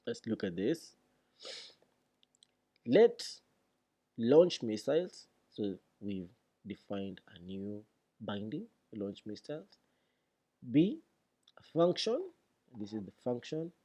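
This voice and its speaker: A man giving a read-along programming tutorial, reading deliberately and thinking aloud as he explains. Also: on the low side, big pitch swings, dark tone.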